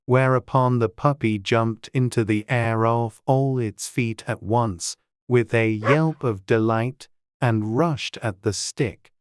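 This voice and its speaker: An unhurried storyteller reading a classic tale aloud, slow and measured with gentle expression. The voice sits at a middle pitch and sounds dry and close to the mic. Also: no echo. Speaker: audiobook narrator. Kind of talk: scripted storybook narration.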